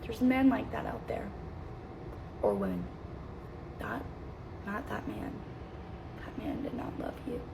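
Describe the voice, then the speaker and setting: A woman speaking in an earnest, halting way in a personal video message, often repeating herself. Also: fairly high pitch, fairly even intonation, gravelly, noisy background. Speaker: social media poster.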